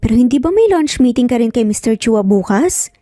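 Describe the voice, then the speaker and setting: A woman's voice: fairly high pitch, expressive, brisk, warm tone, no echo, studio quality, soft background music, natural voice. A woman voicing a modest, proper young secretary and mother, polite and questioning, in a dramatised story. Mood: puzzled.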